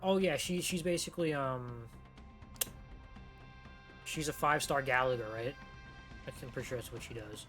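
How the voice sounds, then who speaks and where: A male streamer commentates on a game in a casual, offhand way. His voice has a middling pitch, and he thinks aloud with some swearing while game music plays faintly behind. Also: normal speed, long pauses, fairly bright tone.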